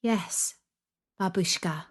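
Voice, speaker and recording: A woman's voice: on the low side, slow pace. A woman voicing a timid, polite young girl who speaks meekly and carefully, in a storybook style. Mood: meek, willing. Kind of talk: storybook character acting.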